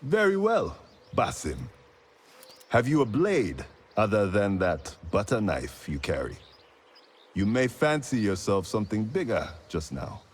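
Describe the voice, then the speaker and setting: Brash, swooping low-voiced rebel man. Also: wildly swooping pitch, a little slow, slight background noise. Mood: brusque, mocking. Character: brash, gruff rebel fighter, commanding and mocking. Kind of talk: video game cutscene acting.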